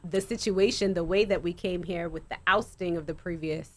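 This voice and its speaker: Female activist guest, lowish voice, measured and explanatory. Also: fairly even intonation. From United States. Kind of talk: explanatory panel talk.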